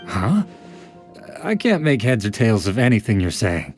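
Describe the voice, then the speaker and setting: A man's voice: lowish voice, very animated, a little slow. An actor playing a blunt, dismissive male laborer in a video game. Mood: hostile. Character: gruff, hostile male townsman laborer. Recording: game audio with music.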